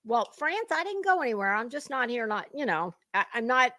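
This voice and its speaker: A woman's voice: fairly high pitch, very animated, brisk, pushed and bright. A woman giving an unscripted commentary rant to her viewers, blunt, sweary and confrontational, leaning hard on emphatic words. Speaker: online commentary creator.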